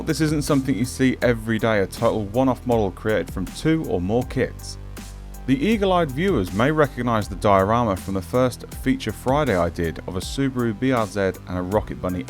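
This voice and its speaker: A man narrates in a fairly low voice. He reads a prepared script as the host of a hobby showcase, with guitar music playing underneath. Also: darkish tone. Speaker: host of a scale-model showcase series. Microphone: handheld audio recorder.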